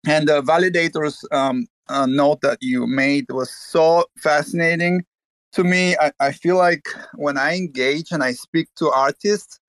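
A man's voice: fairly high pitch, a little slow, fairly bright tone, no room sound. A man from Bulgaria, earnest and full of restarts, talking in a casual online chat.

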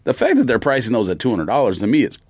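A man's voice: medium pitch, huge pitch swings, very fast, a little mellow, husky. A man co-hosting a podcast, speaking in a dry, matter-of-fact way with wry humor. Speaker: podcast co-host. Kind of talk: casual chat.

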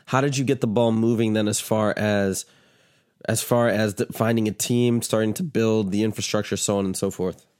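A man's voice: lowish voice, fairly even intonation, moderate pace, a little mellow. A man hosting an interview podcast, upbeat and chatty, with a stuffy, congested voice. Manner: upbeat, asks loose rambling questions. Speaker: podcast host. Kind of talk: casual interview chat.

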